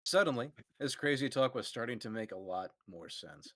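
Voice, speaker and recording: A man's voice: mid-range voice, animated, normal speed, a bit bright, no echo, clean studio sound. A man hosting a comedic fanfic reading, narrating and laughing often. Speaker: host and narrator.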